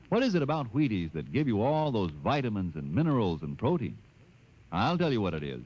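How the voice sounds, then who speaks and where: American male pitchman for vintage radio ad: folksy, hugely varied intonation, dull old recording. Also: darkish tone. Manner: conversational, rhetorical questions, emphatic. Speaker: commercial pitchman. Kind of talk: folksy 1950s radio commercial read.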